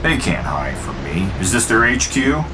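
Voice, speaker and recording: A cocky video game superhero in armor. He has a lowish voice and speaks in short, determined, confident bursts over loud music. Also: sing-song, moderate pace. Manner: terse, confident. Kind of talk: dramatic video game acting.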